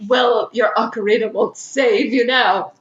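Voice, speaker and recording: A woman's voice: on the high side, steady tone, unhurried, a loud crowd. A woman co-hosting a podcast in casual, joking chat. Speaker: podcast co-host. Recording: muffled, roomy, faintly noisy home recording.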